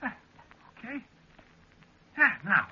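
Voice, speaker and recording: An actor in a vintage radio drama playing a tiny, excitable, flustered little man with a raspy, piping voice. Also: extremely high pitch, takes it slowly, full character voice. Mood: nervous, eager. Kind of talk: 1940s radio drama acting. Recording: muffled old radio recording.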